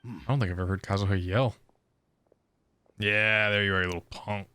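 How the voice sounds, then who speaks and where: A man's voice: on the low side, steady tone, fairly quick, bright, forceful tone, clear, resonant tone. A man hosting a gaming video, casually commenting and reading game text aloud as he plays. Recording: game music behind the voice.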